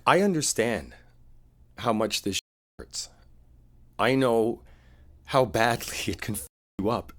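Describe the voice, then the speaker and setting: A man playing a wounded, devoted boyfriend in an intimate audio roleplay, speaking in a halting, confiding, earnest way. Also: fairly low pitch. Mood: earnest reassurance. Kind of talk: boyfriend ASMR roleplay, intimate one-sided dialogue.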